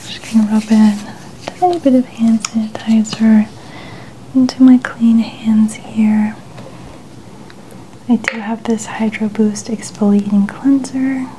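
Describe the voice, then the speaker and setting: A woman speaking in a soft, mostly whispered voice, gently and soothingly narrating each step of an ASMR personal-care role-play. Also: a little high, steady tone, slow, pauses often, a little hiss or hum, poor audio. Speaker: ASMR creator.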